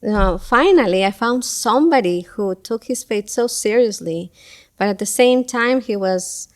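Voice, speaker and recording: A middle-aged woman speaks at a middle pitch, typical for her sex. She talks as a guest in a relaxed interview, thinking aloud with frequent pauses and fillers. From Ecuador. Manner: thoughtful, many pauses and fillers. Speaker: guest, school event coordinator. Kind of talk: casual interview talk.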